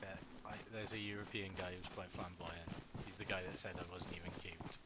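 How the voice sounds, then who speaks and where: A man's voice: low-pitched, steady tone, brisk. A man from Wales with a Welsh accent, chatting casually while playing an online video game. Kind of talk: casual chat during online gameplay. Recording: clear background noise and game sounds.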